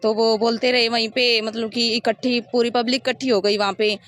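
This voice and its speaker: A woman's voice: a little high, little pitch movement, a little fast, a bit bright. A woman giving a detailed, step-by-step personal account in an interview. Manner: rambling, detailed step-by-step recounting. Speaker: interviewee recounting her complaint.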